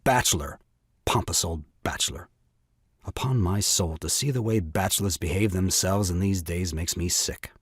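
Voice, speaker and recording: A man's voice: sits low, moderate pace, slightly rough, no room sound, clean studio sound. An actor playing a self-pitying, exasperated new father in an audio farce, with grandiloquent, melodramatic delivery. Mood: exasperated ranting. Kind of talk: theatrical audio drama farce acting.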